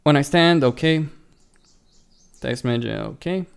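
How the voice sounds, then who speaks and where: A man's voice: a little high, unhurried, vocal fry, smooth. A man hosting a let's play, reading visual novel dialogue aloud and adding casual commentary, stumbling and correcting himself as he goes. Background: game music.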